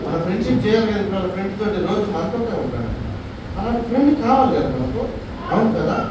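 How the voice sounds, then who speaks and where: A man hosting a live puppet show, bantering comically with questions and animated repetition. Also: sits high, fast talker, over a noisy crowd. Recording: echoey hall, distant mic, faint noise.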